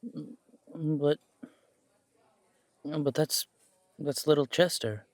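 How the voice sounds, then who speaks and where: A man's voice: a little high, slow, darkish tone, no echo. A man playing an earnest, naive young werewolf in an audio roleplay, hesitant, defensive and eager to please. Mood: hurt, defensive. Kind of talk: audio roleplay drama acting.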